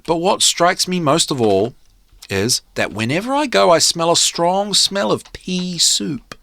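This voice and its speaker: A vain, bossy young king from a fairy tale, performed by a man reading aloud. He declaims pompously, with pitch that swings widely, in a dry, close sound. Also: expressive, a little slow, creaky, pushed and bright, no room sound, full character voice. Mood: pompous, disapproving. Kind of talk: storybook character reading.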